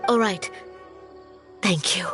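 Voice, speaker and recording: A woman's voice: mid-range voice, slow, fairly bright tone. An actress playing an anxious mother in a video game, pleading and respectful. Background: music.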